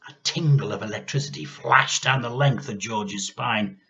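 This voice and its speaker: A man reading a children's story aloud in an expressive, theatrical narrating style. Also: mid-range voice, moderate pace, vocal fry, dull audio.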